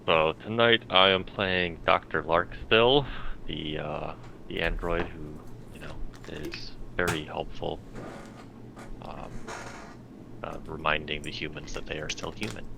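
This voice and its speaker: A man's voice: a bass voice, fairly even intonation, measured pace, gravelly, noisy background. A man chatting casually as a player on a tabletop game show. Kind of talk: casual chat.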